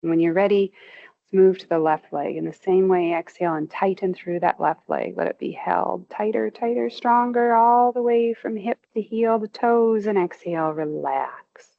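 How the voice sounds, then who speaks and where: Gravelly-voiced woman guiding muscle relaxation. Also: medium pitch, narrow, tinny audio. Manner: slow, soothing cues with rising emphasis on tensing. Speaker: movement instructor. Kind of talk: guided progressive muscle relaxation.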